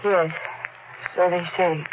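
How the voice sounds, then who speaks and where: A woman's voice: fairly low pitch, fairly even intonation, slow. An actress playing a frightened, suspicious young woman in a 1940s radio mystery, agitated and pleading. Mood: subdued, uneasy. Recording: noisy, muffled 1940s radio recording.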